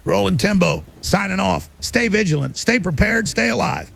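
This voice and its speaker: Actor as male conspiracy broadcaster, very high pitch, gravelly creak, urgent, ominous, audio drama. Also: expressive, normal speed, fairly bright tone, heavily acted. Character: paranoid male conspiracy broadcaster signing off defiantly.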